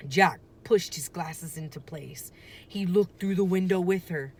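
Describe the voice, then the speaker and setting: A woman reading a children's story aloud like a teacher, with deliberate, dramatic phrasing and emphasis. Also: lowish voice, darkish tone.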